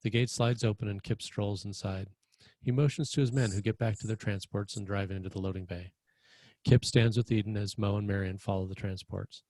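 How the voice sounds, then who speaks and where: Man, fairly low voice, dry close sound, scripted narration, screenplay stage directions. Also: fairly even intonation, brisk, darkish tone, no room sound.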